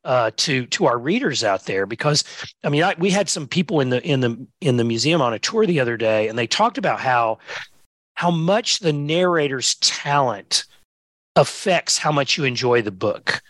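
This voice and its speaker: A man tells stories on a podcast with an eager, rambling energy. His voice has a bright edge at an ordinary pitch. The recording is dry and close. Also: sing-song, moderate pace, no echo. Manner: enthusiastic storyteller, uneven pace, many "you know" fillers. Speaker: museum director. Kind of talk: podcast conversation.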